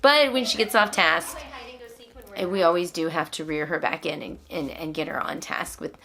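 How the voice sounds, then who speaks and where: A woman's voice: fairly low pitch, moderate pace, pushed and bright. A woman hosting a homeschooling vlog, explaining things to other parents in an encouraging way. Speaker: homeschooling mother and vlog host.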